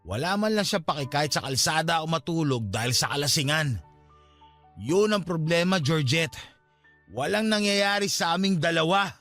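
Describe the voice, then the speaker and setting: An actor with a Filipino accent playing a wounded, drunken young boyfriend in a Tagalog radio drama, pleading and pushy. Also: male voice, a little high, lively intonation, normal speed, fairly bright tone. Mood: bitter, hurt. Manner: pleading, insistent. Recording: dramatic music bed behind the voice.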